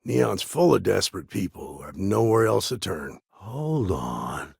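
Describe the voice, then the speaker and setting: Casting: weary sci-fi game lawman, lowish husky voice, very animated pitch, laconic and guarded. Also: measured pace, no echo, studio quality, heavily acted. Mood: measured, wary. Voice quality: gravelly, husky. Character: world-weary, guarded lawman ranger in a frontier space city. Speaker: video game voice actor. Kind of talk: game dialogue lines.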